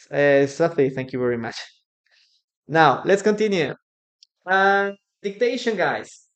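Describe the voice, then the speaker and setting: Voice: mid-range voice, muffled recording. An English teacher whose first language is Spanish, giving an encouraging live online class and repeating phrases for the students. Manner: slow, careful delivery.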